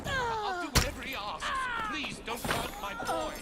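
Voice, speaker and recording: A man's voice: extremely high pitch, sing-song, normal speed. An actor playing a frightened, agitated newspaper publisher and desperate father in a video game drama. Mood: terrified pleading. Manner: agitated, pleading. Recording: loud music under the voice.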